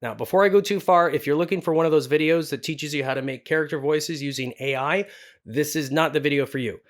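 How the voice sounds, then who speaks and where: A man's voice: fairly high pitch, quick pace. A man hosting a tutorial in a chatty, self-deprecating way, like a working voice actor talking to viewers. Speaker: video host and voice actor. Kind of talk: casual scripted tutorial to camera.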